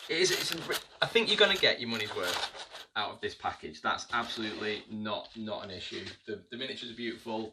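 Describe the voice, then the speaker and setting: A man's voice: fairly low pitch, distant mic, noisy background. A man giving a casual unboxing review of tabletop miniatures, chatty and hesitant, with many ums and restarts.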